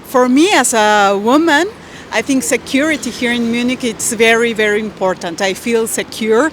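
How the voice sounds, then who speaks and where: A woman's voice: a little high, normal speed, a little hiss or hum. A woman from Mexico answering a street interview outdoors, speaking earnestly and with emphasis.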